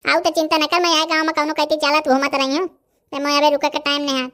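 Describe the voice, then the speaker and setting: A man voicing a bossy, sly village holy man in a comedy cartoon, with a Bundelkhandi accent and artificially raised pitch. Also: little pitch movement, fast, bright, forceful tone. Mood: bossy, smug. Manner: pompous, instructing, brusque. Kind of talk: rustic comedy cartoon dialogue.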